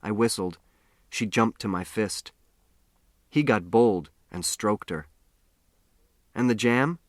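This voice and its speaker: A man's voice: lowish voice, slow pace, no room sound, clean studio sound. A man narrating a first-person story as a scripted audiobook.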